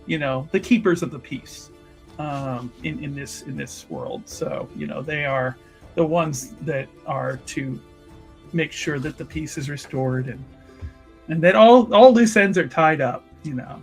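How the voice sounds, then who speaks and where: A man playing a tabletop roleplaying game, casually improvising in a wordy, hesitant way with careful pauses. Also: fairly high pitch, creaky. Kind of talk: casual improvised in-character recap and questions.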